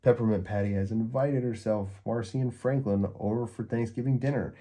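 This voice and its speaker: A man's voice: medium pitch, fairly even intonation, fairly quick, warm tone, natural voice. A man voicing a glum, self-doubting young boy with a groaning, downbeat manner in a storybook reading.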